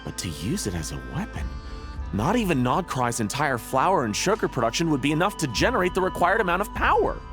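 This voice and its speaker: An actor playing a nervous, apologetic engineer in a video game, explaining things hesitantly. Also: male voice, a little high, very animated, a little fast, music in the background. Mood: thoughtful. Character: nervous, apologetic engineer serving a demanding boss.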